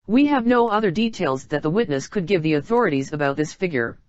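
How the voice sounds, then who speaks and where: A woman delivers a scripted emergency alert in a fairly low voice. Her manner is formal and instructional, like an official announcer. The sound is very dry and a little dull and lo-fi. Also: expressive, fast talker, a little mellow, no room sound.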